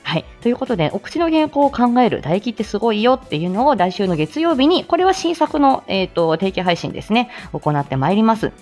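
A woman's voice: mid-range voice, big pitch swings, a little fast, few pauses, a little mellow, soft background music. A woman hosting a live audio stream with casual announcements in a playful, giggly, polite manner.

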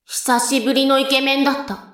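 An actress playing an excitable, eager young woman in an audio drama, with music behind her. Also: sits high, fairly quick, noisy background, close to an everyday voice. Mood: excited.

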